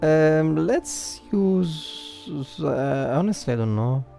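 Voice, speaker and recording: A woman's voice: deep voice, expressive, drawn-out words, vocal fry, warm tone. A woman doing casual live commentary as she plays a game, muttering to herself. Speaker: game livestreamer. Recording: faint game music behind.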